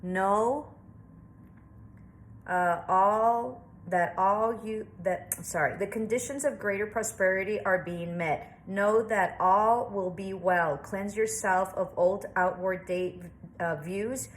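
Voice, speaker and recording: A woman's voice: medium pitch, slow pace. A woman giving a casual tarot reading to camera, chatty and reassuring, thinking aloud with plenty of fillers. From Southwestern United States. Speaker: tarot reader.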